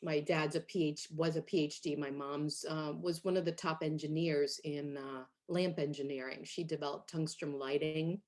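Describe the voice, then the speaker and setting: A middle-aged woman from Hungary, a guest in an interview, telling her story in a lively way with fillers and laughs. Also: deep voice, normal speed, few pauses. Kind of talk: conversational interview.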